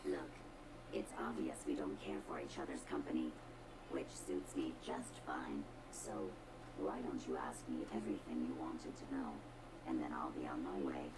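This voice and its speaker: A haughty female robot from a video game, speaking extremely low through a robotic filter. She delivers formal, precise lines with cold contempt for humans. The audio is very noisy. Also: steady tone, moderate pace. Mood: cool disdain. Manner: disdainful, precise, formal diction. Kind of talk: video game character dialogue.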